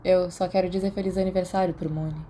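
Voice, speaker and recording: A sullen, guarded teenage schoolboy with a Brazilian accent, voiced by a woman for an audiobook. He talks tersely in a subdued, lowish and very mellow voice. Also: little pitch movement, quick pace, natural voice. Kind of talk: audiobook character dialogue.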